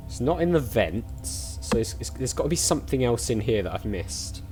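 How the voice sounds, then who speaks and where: A man's voice: mid-range voice, sing-song, normal speed, a little mellow, faint music behind. A man giving casual let's-play commentary as a channel host, chatting and thinking aloud in questions as he plays. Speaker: video game player and channel host.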